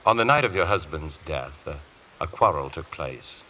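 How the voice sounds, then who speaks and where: A man's voice: very deep voice, animated, normal speed, pushed and bright, lo-fi sound, full character voice. A man playing an eloquent, intense defence barrister in a vintage radio courtroom drama, speaking in a formal, pressing way. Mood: probing, insistent. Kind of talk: 1940s-50s radio courtroom drama acting. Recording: faintly noisy vintage radio recording.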